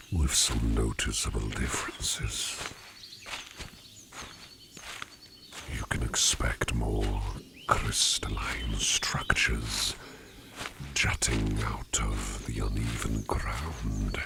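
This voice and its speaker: A man voicing a gentle sleep demon in human disguise, speaking formally and haltingly in a breathy near-whisper for an ASMR roleplay. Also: a bass voice, heavily acted. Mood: confiding. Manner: slow, halting, formal phrasing. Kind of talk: ASMR roleplay, personal attention. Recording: noisy, outdoor nature sounds behind.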